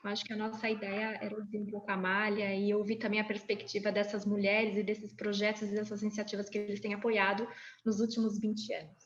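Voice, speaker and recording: A woman's voice: mid-range voice, completely flat delivery, fast talker, reverberant, dull audio. A woman hosting an online panel, introducing a guest, hesitant and repeating words. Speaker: host. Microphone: video call.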